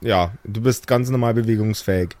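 A man's voice: lowish voice, fairly quick, a little mellow. A man improvising story narration and banter as a game master on a podcast.

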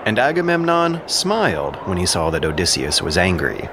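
A man's voice: low voice, huge pitch swings, normal speed, creaky, noisy background. A man delivering scripted dramatic narration of an ancient epic as a storyteller.

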